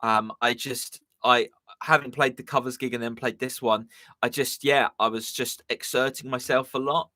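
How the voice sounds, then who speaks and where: A man's voice: mid-range voice, fairly even intonation, normal speed, sharp tone, dry recording. A young man with a southern English accent chatting casually as a musician hosting his live stream, rambling with lots of ums and uhs, his voice a bit strained. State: slightly strained voice. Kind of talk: casual chat.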